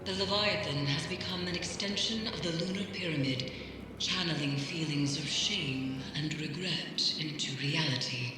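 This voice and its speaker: An actress playing a solemn, cryptic mystic in a game, advising a warrior in a measured, grave, formal way. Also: female voice, sits low, over music, natural voice. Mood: grave warning. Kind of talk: dramatic scripted game dialogue. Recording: cavernous long reverb, loud background ambience.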